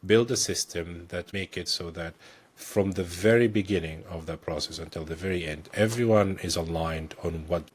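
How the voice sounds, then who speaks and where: A man speaking as a guest on a podcast, explaining his work in a thoughtful way, with many pauses and restarts. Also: deep voice, a little hiss or hum. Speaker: guest, technical director. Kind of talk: conversational explanation.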